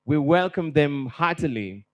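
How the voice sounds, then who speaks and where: A man's voice: fairly high pitch, animated, unhurried. A man working as master of ceremonies at a conference, upbeat and chatty as he welcomes guests and gives housekeeping notes. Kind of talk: conference emcee announcements. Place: conference hall.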